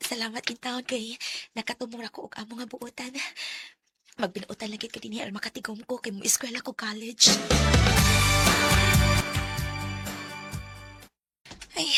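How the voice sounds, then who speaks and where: A woman's voice: on the high side, level tone, fairly quick, over music, close to an everyday voice. An actress playing an earnest, humble young working woman in a radio drama, polite and plaintive, with a Visayan Filipino accent. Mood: grateful, eager. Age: young adult.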